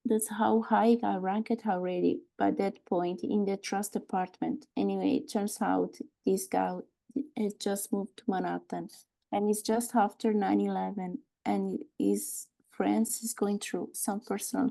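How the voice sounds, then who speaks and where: A woman playing a bitter, grieving wife and mother in a screenplay table read, telling her story in a halting, wry way. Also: medium pitch, vocal fry, dark tone.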